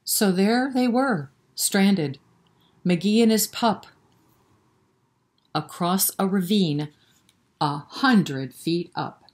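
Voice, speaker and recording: Female children's storyteller, mid-pitched and unhurried, expressive read-aloud delivery with explanatory asides. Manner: expressive, explains words and prompts young listeners.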